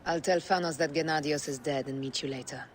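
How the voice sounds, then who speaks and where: An actress playing a resolute ancient Egyptian warrior wife in a video game, measured and intense. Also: female voice, deep voice, slight background noise, close to an everyday voice. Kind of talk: dramatic video game cutscene acting.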